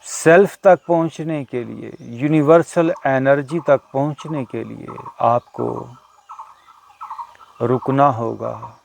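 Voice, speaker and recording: A man leading a guided meditation as a teacher, speaking deliberately with short pauses between phrases. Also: medium pitch, creaky, a little mellow, slight background noise. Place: outdoors in a natural setting. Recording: outdoor recording with birdsong behind. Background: birdsong.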